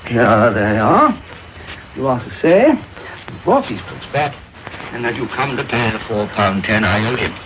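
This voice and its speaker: An actor playing a kindly, trusting elderly English gentleman in a radio drama, courteous and assured. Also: mid-range voice, sing-song, a little slow. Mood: kindly, instructive. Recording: noisy radio taping.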